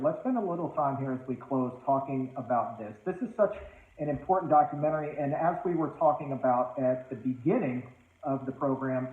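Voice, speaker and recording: A man hosting an interview in a casual, joking way, rambling with animated asides. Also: medium pitch. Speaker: podcast host. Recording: tinny phone-like line, echoey room.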